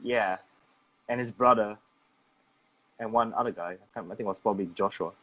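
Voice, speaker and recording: A man's voice: on the low side, brisk, a bit bright, rough recording. A man hosting a casual interview, rambling through long questions full of "like". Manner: rambling, many "like" fillers. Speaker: host.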